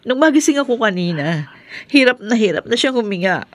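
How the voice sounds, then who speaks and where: High-voiced, distraught mother. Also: sing-song, fairly quick. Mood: anxious, tearful. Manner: anxious, tearful. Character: distraught, helpless mother worried for sick child. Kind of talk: radio drama acting.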